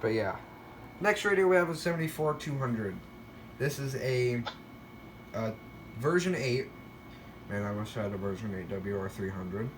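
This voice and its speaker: A man's voice: mid-range voice, lively intonation, normal speed, long pauses, slight background noise. A man giving a casual show-and-tell narration in a hesitant, rambling way, often correcting himself. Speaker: video creator showing a weather radio collection.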